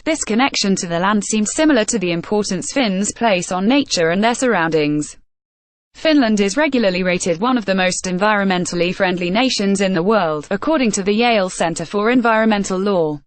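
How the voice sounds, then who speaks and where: A woman's voice: medium pitch, dry recording. Woman reading a travel article, dry sound. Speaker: narrator.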